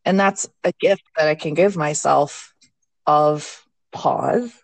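A woman guest in a casual mindfulness chat, candid and quick to laugh. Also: deep voice, no echo. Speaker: podcast guest, mindfulness teacher. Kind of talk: casual conversation.

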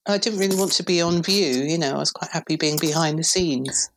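A woman in her fifties from England with a very deep voice, a PR director talking thoughtfully as a podcast guest. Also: fairly quick. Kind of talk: relaxed conversational interview.

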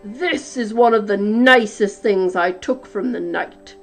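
Booming slow menacing giant, a woman reading puts him on, lower than her own voice, faint music under it. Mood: commanding. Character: huge roaring man-eating male giant.

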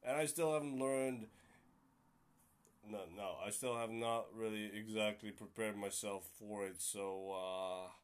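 A man's voice: fairly low pitch, fairly even intonation, unhurried, smooth. Rambling young male podcast host. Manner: rambling, swears casually, many fillers. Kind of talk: casual solo podcast chat.

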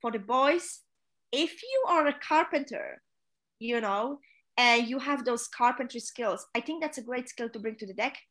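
Polish woman, slightly high voice, casual interview, chatty advice with lots of uhs. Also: moderate pace, fairly bright tone. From Poland. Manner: earnest, direct advice to listeners, frequent filler sounds. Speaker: chief stewardess, interview guest.

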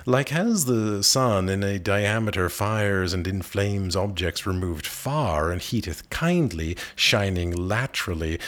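A man reading narrative verse aloud as an expressive literary recitation, with measured, dramatic phrasing. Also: low-pitched. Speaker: poetry reader.

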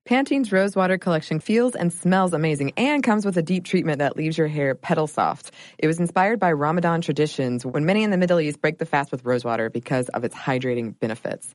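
Low voiced woman doing a chatty ad read, dry close sound. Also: fast, no echo. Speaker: ad spokesperson. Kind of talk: scripted ad read.

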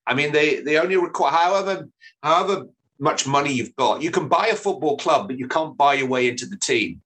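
A middle-aged man from England, a football commentator, telling stories in a relaxed interview with restarts and self-deprecating humour. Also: a little high, a little fast, poor audio. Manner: chatty storyteller, frequent restarts and self-deprecating laughs. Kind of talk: relaxed anecdotal interview talk.

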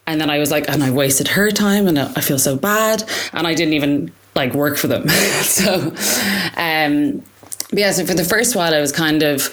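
A young woman, an artist, answering interview questions in a reflective, halting way with many fillers and restarts. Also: low-pitched. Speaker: artist guest.

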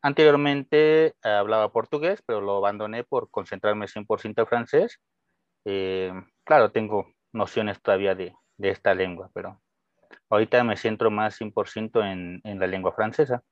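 A man's voice: lowish voice, normal speed, dry recording. A young Mexican man with a Mexican Spanish accent, a guest teacher answering casually and friendly, with hesitations and restarts. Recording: dull lo-fi dry sound.

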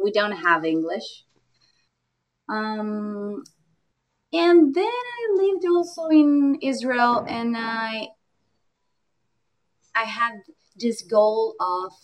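A young adult woman from Argentina with an Argentine Spanish accent, speaking English hesitantly with many fillers in casual chat. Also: mid-range voice. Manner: hesitant, frequent fillers and laughter. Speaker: guest, yoga teacher.

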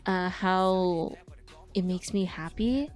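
A talkative woman with a smooth, resonant voice, rambling through a casual podcast chat. She circles her points with plenty of fillers and restarts, and faint music plays behind her. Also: fairly low pitch, takes it slowly, darkish tone. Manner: chatty, rambling with many fillers.

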